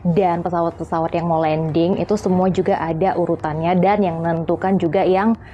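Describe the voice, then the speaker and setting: A woman's voice: fairly low pitch, steady tone, brisk, dark tone, muffled recording, slight background noise. A woman hosting a casual, chatty educational explainer in a playful, jokey, colloquial way. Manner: playful, jokey, acts out little dialogues to illustrate points. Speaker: host of an aviation explainer channel.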